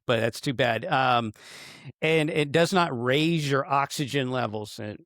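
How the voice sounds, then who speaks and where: A man, a running coach, talking casually on a podcast in a halting, joking way with many restarts. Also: mid-range voice, fairly bright tone, no echo, clean studio sound.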